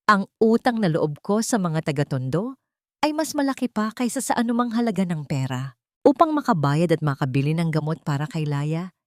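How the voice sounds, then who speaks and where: Woman, Filipino accent, lowish voice, dry close sound, solemn dramatic audiobook narration. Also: darkish tone, no room sound. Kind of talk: dramatic first-person scripted story narration.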